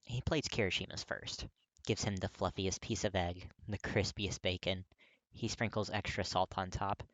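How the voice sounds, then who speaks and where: Expressive fiction narrator in a dry, close recording. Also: sits low, moderate pace, no echo, poor audio. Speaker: podfic narrator. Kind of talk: scripted fiction narration, expressive audiobook reading.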